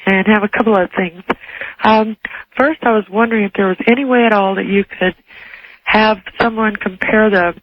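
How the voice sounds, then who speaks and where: A woman's voice: medium pitch, steady tone, moderate pace, no room sound. A woman calling a radio show, casually putting questions to the host over a telephone line. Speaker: phone-in caller.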